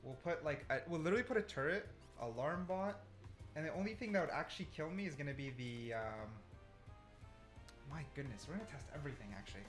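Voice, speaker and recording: A man doing excited gameplay commentary, thinking aloud and often repeating himself. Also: on the high side, fast, soft background music. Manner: repetitive, exclamatory, thinking aloud. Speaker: gaming content creator testing game mechanics.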